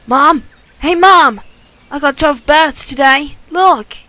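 A woman's voice: high-pitched, takes it slowly, bright, forceful tone, slight background noise, full character voice. A woman voicing an eager, obedient young jungle boy in a cartoon fan dub, with a childlike manner. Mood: excited, proud. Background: music and chirping birds.

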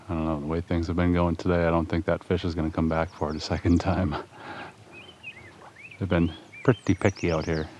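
A man's voice: deep voice, a little hiss or hum. A man with a Minnesotan accent chatting casually to viewers while fishing from a kayak on a river, recorded on an action camera. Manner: relaxed, chatty, talks to viewers. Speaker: fishing vlogger.

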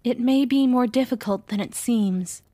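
A woman playing a sceptical, higher-voiced young princess. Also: moderate pace, mellow, no room sound, natural voice. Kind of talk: audio drama acting.

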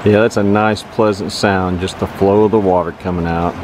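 A man's voice: low-pitched, steady tone, normal speed, background noise. A man in his forties, a travel vlogger, chatting casually to a handheld camera outdoors and in his camper van. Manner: chatty and upbeat, with frequent fillers. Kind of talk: casual travel vlog with voice-over narration. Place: outdoors on a lakeside dam, and inside a camper van. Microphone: handheld camera at arm's length.

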